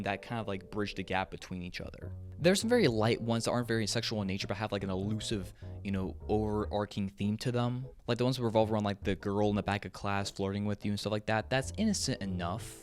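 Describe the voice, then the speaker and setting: A man hosting a video essay, giving scripted, opinionated commentary with casual, wry asides. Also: lowish voice. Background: background music.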